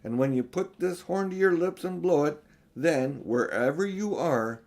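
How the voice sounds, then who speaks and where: A man playing a big, solemn, kindly old Father Christmas, speaking in measured, emphatic pronouncements for a storybook. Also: fairly high pitch, lively intonation, darkish tone, pitched above the performer's own voice. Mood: grave.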